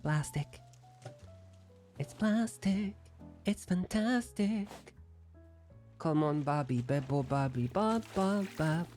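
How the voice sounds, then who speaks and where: A man's voice: a little high, expressive, slow, long pauses, darkish tone, faint music behind. Playful rambling young male streamer. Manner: rambling, playful, self-deprecating. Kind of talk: casual chat while gaming.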